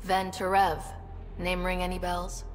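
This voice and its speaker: An actress playing a confident, dry-witted young space explorer in a video game, direct and wry. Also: female voice, lowish voice, monotone, unhurried, in a large hall, faint music behind, close to an everyday voice. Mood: firm, wry. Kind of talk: video game character dialogue.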